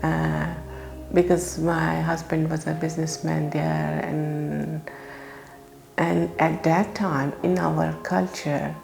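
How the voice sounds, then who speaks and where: Halting woman, gravelly and slow. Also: sits low, flat delivery. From Pakistan. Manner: halting, hesitant English. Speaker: interviewee. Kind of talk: personal testimony. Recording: echoey room with loud music behind.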